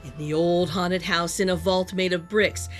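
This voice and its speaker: A woman reading a children's picture book aloud in an animated, sing-song storytelling manner. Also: on the low side, moderate pace. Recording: music playing behind the voice. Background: music.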